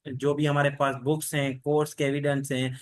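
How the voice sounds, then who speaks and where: A man's voice: fairly high pitch, flat delivery, a little fast. A man teaching an online class, explaining steadily and repetitively with many fillers.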